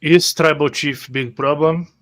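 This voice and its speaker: A man playing an earnest peacemaking adventurer who speaks in halting, simple broken sentences. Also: on the high side, steady tone, a bit bright.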